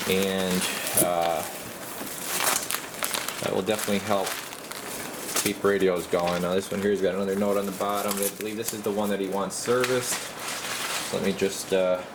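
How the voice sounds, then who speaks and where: A man working as a radio repair technician, giving casual, halting bench commentary as he mutters and thinks aloud. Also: low voice, fairly even intonation, noisy background. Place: indoor radio repair workbench.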